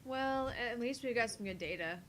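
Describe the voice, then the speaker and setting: A woman's voice: fairly high pitch, animated, moderate pace, close to an everyday voice. A woman voicing a tired, sarcastic young scientist in a visual novel read-through, dry and dismissive.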